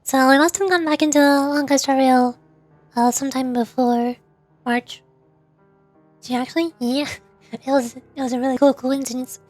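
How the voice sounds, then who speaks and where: A high-pitched woman talking casually on a livestream, rambling from one thought to the next and replying to her viewers as she goes. Faint music plays quietly behind her voice. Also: steady tone, normal speed, long pauses, no echo. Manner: rambling, chatty, answers viewers' questions. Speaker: streamer.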